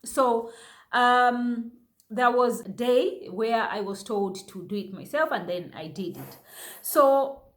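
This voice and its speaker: A woman's voice: a little high, expressive, slow. A young woman from Zambia telling viewers about her experience as a new mother, in a chatty way with many fillers and restarts. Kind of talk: casual personal storytelling to viewers.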